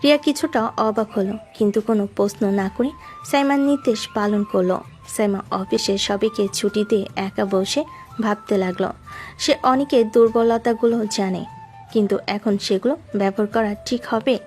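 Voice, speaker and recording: A woman's voice: medium pitch, a little fast, a little mellow. A woman narrating a scripted romantic story in a dramatic storytelling style. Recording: music behind the voice.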